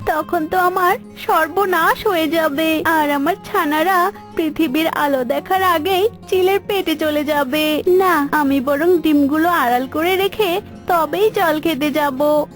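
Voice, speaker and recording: A woman's voice: very high-pitched, measured pace, soft background music, pitched above the performer's own voice. A woman voicing a plaintive, dramatic mother bird in a cartoon folk tale. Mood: anxious. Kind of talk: cartoon voice acting.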